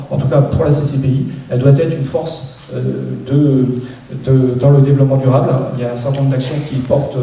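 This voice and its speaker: Guy talking politics at a campaign meeting, dark mellow voice, lots of echo, far from the mic, keeps restarting. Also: fairly high pitch. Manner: hesitant, many restarts and fillers. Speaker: local election candidate. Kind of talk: campaign speech. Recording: echoey room, voice from far off.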